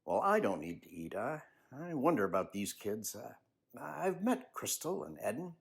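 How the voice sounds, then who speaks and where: Husky, very animated older man. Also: medium pitch. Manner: expressive, unhurried storytelling. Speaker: children's book author reading aloud. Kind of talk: personal commentary and storybook reading.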